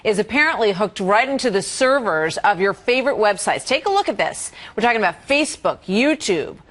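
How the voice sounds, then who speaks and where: A woman's voice: mid-range voice, animated, brisk, a bit bright. A woman with an American accent presenting scripted broadcast news as an anchor.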